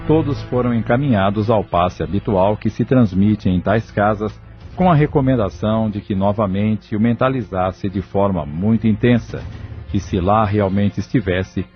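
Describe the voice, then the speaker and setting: A man's voice: on the low side, animated, normal speed. A man narrating a scripted audio novel as its storyteller. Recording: muffled lo-fi audio with music.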